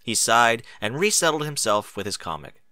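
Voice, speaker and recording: A man's voice: mid-range voice, sing-song, moderate pace, sharp tone, no room sound. A man reading scripted fiction aloud as an audiobook narrator.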